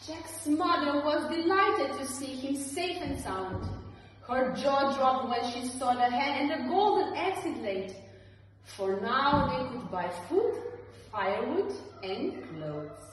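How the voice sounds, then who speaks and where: A woman reads a children's tale with a very lively, fairly high voice and an unhurried pace. She leans on key words dramatically, as a teacher does for small children. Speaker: early years teacher reading a story. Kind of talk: storytelling for young children, expressive narration. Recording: roomy, echoey, distant mic, faint noise.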